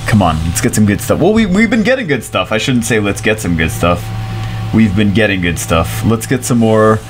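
A man in his thirties with a congested, stuffy voice, chatting casually and excitably as a gaming streamer while he rambles and repeats himself, recorded on a headset in a small room at home. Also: lowish voice, a little fast, a little hiss or hum.

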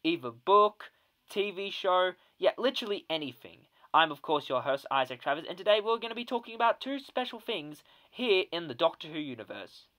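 A man's voice: high voice, lively intonation, fairly quick, fairly bright tone. A young man hosting a solo fan podcast, speaking in an enthusiastic manner. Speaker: podcast host.

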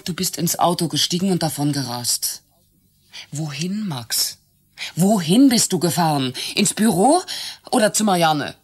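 A bitter, jealous secretary in a radio drama. Her voice is husky and her delivery reproachful, with hesitant pauses. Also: fairly low pitch, lively intonation. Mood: accusing. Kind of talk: naturalistic German radio play acting.

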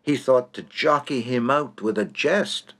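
A man's voice: mid-range voice, unhurried, a bit bright. A man narrating a comic short story in a droll, theatrical, precise manner.

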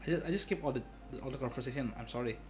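A man's voice: mid-range voice, rapid-fire. A man giving casual live gameplay commentary, playfully muttering and breaking into sudden exclamations. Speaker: game streamer. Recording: game music faintly behind.

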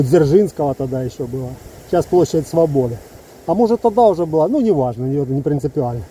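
A man in his fifties from Ukraine speaks into a phone held close, outdoors among trees. His voice is husky and very dark, and it sits fairly high for a man. He talks in an emphatic, heated way and keeps breaking off into asides. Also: low-quality recording. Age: 50s. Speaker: soldier vlogger. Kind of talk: casual video monologue to camera. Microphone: phone held close, selfie framing.

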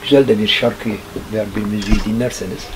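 A man's voice: fairly low pitch, fast talker, background noise. Older man musing on bygone days. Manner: thoughtful, philosophizing about old times. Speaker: gramophone repairer. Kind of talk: reflective interview talk. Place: small cluttered workshop indoors.

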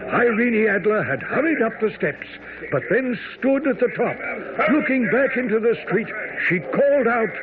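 An actor playing an earnest, loyal gentleman who narrates a radio mystery in a dramatic storytelling manner. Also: very high-pitched, expressive, normal speed, heavy background noise. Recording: very muffled old radio broadcast, music.

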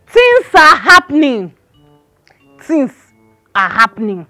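A woman's voice: sits high, big pitch swings, moderate pace, fairly bright tone, dry recording, soft background music. A woman with a Nigerian accent playing a dramatic, gossipy, pious compound neighbour in a comedy film. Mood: conspiratorial alarm. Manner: dramatic, emphatic.

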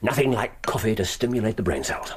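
Dry teasing detective guy, a man acting him, lowish voice that swoops around a lot, radio play. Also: expressive, slight background noise, lightly acted. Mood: musing. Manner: dry, wry and deliberate. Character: brilliant, dry, teasing male detective, middle-aged.

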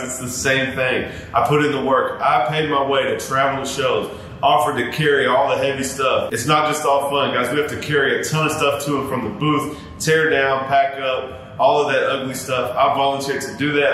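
A man in his thirties gives earnest advice to camera, speaking casually at a mid pitch. His voice sits in a very echoey indoor studio with a faint rumble behind it. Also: sharp tone. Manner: earnest, repetitive, keeps saying guys. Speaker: barber and YouTuber. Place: home barber studio, indoors.

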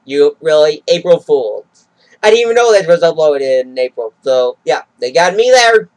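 A man's voice: fairly high pitch, expressive, normal speed, darkish tone, rough recording. A young adult man giving casual reaction commentary with sarcastic, heckling asides, recorded on a webcam in a small room. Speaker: reaction video host.

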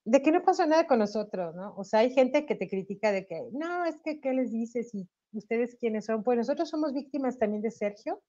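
Mexican woman singer, slightly hoarse. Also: a little high, normal speed, few pauses. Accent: Mexican Spanish. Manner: animated, tag questions, laughs while talking. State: slight cough, sore throat. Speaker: singer, interview guest. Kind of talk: candid video call interview. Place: home room. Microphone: webcam on video call. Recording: slightly dull video call audio.

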